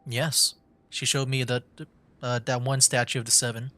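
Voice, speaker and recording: A man's voice: medium pitch, level tone, normal speed, sharp tone, a little hiss or hum. A young man livestreaming a video game, giving casual commentary in hesitant, trailing phrases. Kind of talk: casual game commentary, reading dialogue choices aloud. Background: game music.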